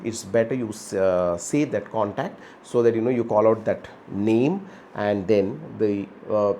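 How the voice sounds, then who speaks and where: Low-pitched Indian man reviewing gear. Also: a little mellow, slight background noise. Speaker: host of a motorbike gear review channel. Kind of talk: conversational product review to camera.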